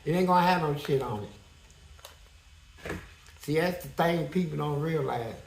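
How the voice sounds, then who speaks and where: A man with a high-pitched voice telling a prison story in a blunt, profane, animated way as an interview guest. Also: normal speed, vocal fry, reverberant, slight background noise, rough recording.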